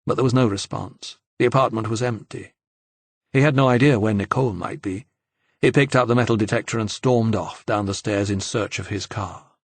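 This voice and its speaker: A man's voice: fairly low pitch, moderate pace, dry recording. A man narrating fiction from a script as an audiobook reader.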